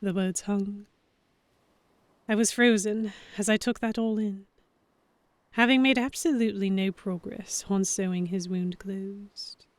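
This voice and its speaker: A woman playing an earnest, self-conscious heroine, narrating a historical romance audio drama in the first person with measured, introspective delivery. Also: medium pitch, steady tone, dry recording, natural voice. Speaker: narrator and character.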